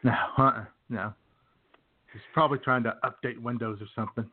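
Male co-host for casual comic banter, wry delivery, average pitch, thin telephone-quality sound. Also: steady tone, a little fast. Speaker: radio show co-host. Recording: tinny phone line.

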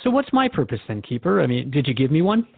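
A narrow, phone-like recording of a radio drama host, played by a man. He is male, with a slightly raised pitch and a conversational, hesitant delivery. He sounds curious and skeptical, restarting his sentences as he probes. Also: sing-song, fast, creaky, breathy edge, dry recording, heavily acted. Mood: curious, probing. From Canada. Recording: thin phone-like band-limited audio.

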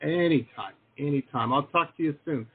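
A man's voice: a little high, normal speed, breathy edge. A man hosting a podcast interview, chatting casually and teasing his guest with jokes. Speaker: podcast host. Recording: tinny phone-like call audio.

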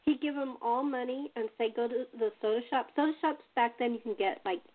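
Female host, conversational storyteller, higher register, narrow phone-like audio. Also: fairly quick. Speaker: host and playwright. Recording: thin phone-like call audio.

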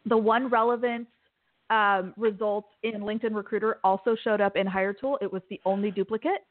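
A woman's voice: mid-range voice, a little fast, no echo. A woman from Kansas, a tech recruiter, talking casually and animatedly as a guest on a podcast. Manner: animated, chatty, laughs easily. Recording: tinny phone-like line.

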